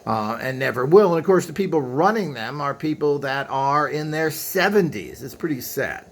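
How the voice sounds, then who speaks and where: A man's voice: fairly high pitch, lively intonation, normal speed. A man presenting equipment in a casual, unscripted show-and-tell, with a blunt, griping, opinionated way of talking. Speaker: presenter demonstrating vintage equipment.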